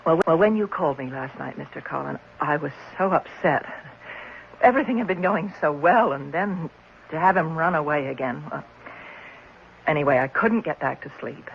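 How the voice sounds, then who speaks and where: A woman playing an anxious, polite, upset lady in a 1970s radio drama, with a low, gravelly voice. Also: moderate pace. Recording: muffled, noisy 1970s radio recording.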